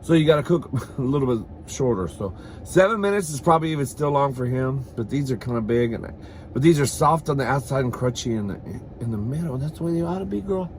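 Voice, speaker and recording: A man's voice: mid-range voice, expressive, fast talker. Campy sassy guy just chatting while he eats, swears a lot, mid voice, bit of noise behind him. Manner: campy and sassy, calls listeners "girl" and "honey"; bawdy, swears freely. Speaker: host of a comedy mukbang vlog. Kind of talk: casual comic chat to camera while eating.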